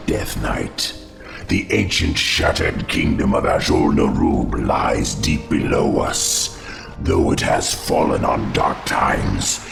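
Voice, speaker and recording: An actor playing an ancient, giant undead insect lord in a game cutscene, slow, ominous and formal, with a cavernous gravelly voice. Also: male voice, very deep voice, full character voice. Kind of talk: video game cutscene acting. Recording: heavy echo, music behind.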